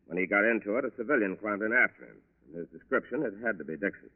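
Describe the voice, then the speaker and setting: An actor playing a determined federal agent in a 1940s radio drama, clipped and businesslike. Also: male voice, fairly low pitch, lively intonation, rapid-fire. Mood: brisk, probing. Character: brisk, determined male federal agent questioning witnesses. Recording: muffled, reverberant old radio broadcast recording.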